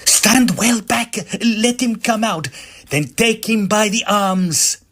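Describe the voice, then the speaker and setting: A man acting an excitable, hot-headed Italian opera singer with an Italian accent in a farcical period audio drama. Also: sits high, slow. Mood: excited, commanding.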